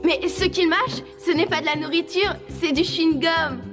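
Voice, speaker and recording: An actress voicing a bossy, competitive, excitable schoolgirl in a French cartoon dub, pushy and emphatic. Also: very high-pitched, moderate pace, music in the background. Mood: eager.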